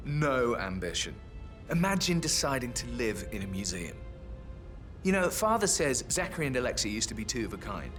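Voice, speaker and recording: A man playing a spoiled, bored young Englishman, animated. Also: mid-range voice, normal speed, natural voice. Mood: irritated, dismissive. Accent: British. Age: young adult. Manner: sweary, casual, dismissive. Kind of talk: video game dialogue. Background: background music.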